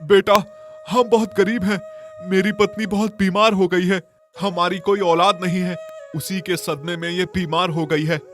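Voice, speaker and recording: A man's voice: high voice, steady tone, a little slow, a bit bright. A man voicing a poor, worried husband who pleads in a children's cartoon story. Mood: pleading, sorrowful.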